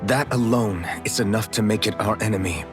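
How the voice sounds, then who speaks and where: A man plays a resolute young swordsman hero from a video game. His voice sits low and he speaks firmly and earnestly. Loud music plays underneath. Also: fairly quick, close to an everyday voice. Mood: earnest, determined. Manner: earnest, firm, plain-spoken. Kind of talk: scripted video game voice acting.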